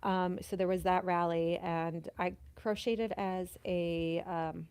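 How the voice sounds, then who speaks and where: A woman in her thirties or forties, a guest in a casual interview, telling stories in a lively way and laughing often. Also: lowish voice, takes it slowly, smooth, no echo. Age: 30s to 40s, mother of a nine-year-old. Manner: animated storyteller, laughs often. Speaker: podcast guest, performer.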